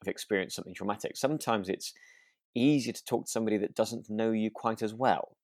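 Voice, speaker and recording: Casting: male podcast co-host, lowish gravelly voice, close dry sound, reflective and halting, informal. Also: a little mellow, no room sound. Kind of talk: relaxed casual discussion.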